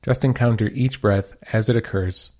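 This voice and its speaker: A man leading a guided meditation as a teacher, giving calm, measured, gentle instructions. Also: on the low side.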